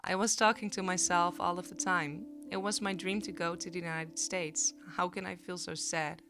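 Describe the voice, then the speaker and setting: A woman's voice: fairly low pitch, steady tone, normal speed, music in the background. A young woman who studied abroad, speaking introspectively in a documentary interview.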